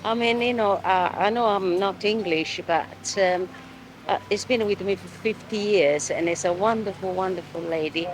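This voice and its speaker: Woman, mid-pitched voice, emotional, repeats phrases, street interview, faint background noise. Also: normal speed, low-quality recording. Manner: emotional, repetitive. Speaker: member of the public.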